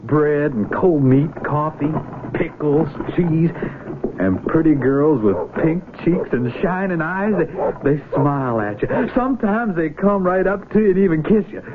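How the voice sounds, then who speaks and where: A man's voice: a little high, expressive, unhurried. A man acting an eager young Union Army volunteer in a 1970s radio drama, with rural American speech and a folksy, enthusiastic manner. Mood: gleeful, dreamy. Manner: folksy, enthusiastic, drawling country talk. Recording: noisy, tinny vintage radio broadcast recording.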